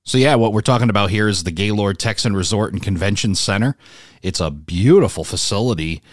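A man with a fairly low voice hosts a podcast interview. He asks questions and reads out details casually, recorded close and dry with no room sound. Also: fairly quick, dry recording.

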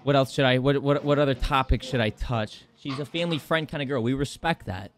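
Loud, boastful young male streamer. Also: mid-range voice, fairly quick, a little hiss or hum. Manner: loud, boastful, joking with chat. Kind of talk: live-stream banter. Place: indoor home streaming room. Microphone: desk microphone on boom arm.

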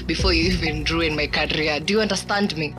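A woman's voice: sits low, fairly quick, music in the background, natural voice. A woman playing a domineering, possessive boss in a radio drama, speaking in commands and threats. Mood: menacing. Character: menacing, domineering female boss, coercive and possessive.

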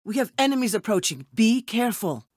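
A woman playing a cool, poised and wry double agent in an animated video game. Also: a little high, a little fast, dry recording, clean studio sound. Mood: urgent alarm.

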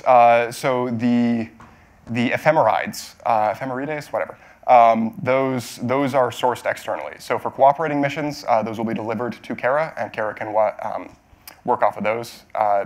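A man in his thirties answering questions as the speaker at a technical talk, explaining in a halting way with hedges and self-corrections. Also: medium pitch, steady tone. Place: auditorium stage at a podium.

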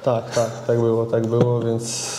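A man's voice: mid-range voice, completely flat delivery, unhurried, dark tone, slight background noise. A young man, a football goalkeeper, answering interview questions casually and hesitantly, often repeating words as he searches for them. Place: club media room, indoors. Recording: echoey room.

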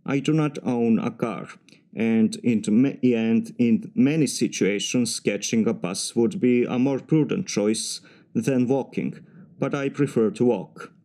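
A man with a Serbian accent giving formal, measured practice answers for a timed speaking exam. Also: medium pitch, warm tone. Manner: formal, measured, slightly stiff phrasing. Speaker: mechanical engineer practicing test answers.

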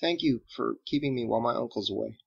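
A man's voice: medium pitch, quick pace, gravelly, slightly rough, dull audio. A man voicing a young farm boy in a storybook, earnest and wary, with a hesitant, naive, plain-spoken manner. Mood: apologetic.

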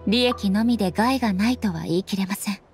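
An actress playing a solemn, composed female ruler in an anime game, speaking formally and earnestly with measured politeness. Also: mid-range voice, faint music behind, natural voice. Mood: solemn, reflective. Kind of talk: scripted anime game dialogue.

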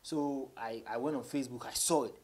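A Ghanaian man, a rapper being interviewed, recounts his past in a casual way. He has a medium-pitched voice and slips between English and Twi as he talks. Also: a little fast, darkish tone. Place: small indoor studio.

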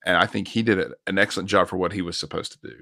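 A man's voice: deep voice, steady tone, very fast, creaky, bright, forceful tone, dry recording, studio quality. A man, the resident critic on a film podcast, giving blunt, emphatic opinions in casual chat. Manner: blunt and emphatic about his dislikes.

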